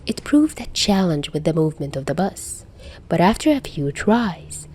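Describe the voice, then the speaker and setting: Expressive, lower-voiced woman narrating. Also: moderate pace, slightly rough. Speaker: fan fiction narrator. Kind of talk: expressive scripted story narration.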